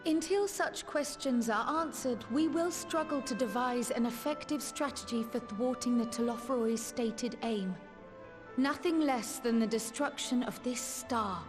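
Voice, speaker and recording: An earnest woman strategist from a fantasy game, voiced by an actress. She speaks in a somewhat high voice with formal, deliberate phrasing, over quiet music. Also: lightly acted. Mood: grave, resolved. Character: earnest, determined female scholar and strategist. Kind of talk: formal video game cutscene acting.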